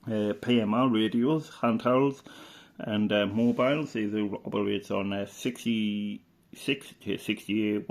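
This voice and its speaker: A middle-aged man from the UK, a radio hobbyist chatting casually through an old catalogue, rambling and unhurried with lots of fillers and restarts. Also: on the low side, darkish tone. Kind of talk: casual reminiscing commentary.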